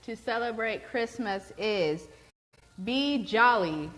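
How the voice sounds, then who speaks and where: A woman's voice: a little high, lively intonation, takes it slowly, a bit bright, faint music behind, poor audio. A woman hosting a casual talk show, upbeat and affirming, speaking straight to her viewers. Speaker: host.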